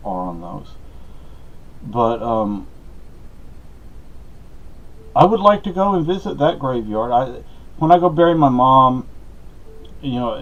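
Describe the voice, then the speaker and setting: A middle-aged man gives a casual, rambling monologue, conversational and full of asides and personal anecdotes. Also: mid-range voice. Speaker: podcast host giving personal updates. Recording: dull lo-fi audio.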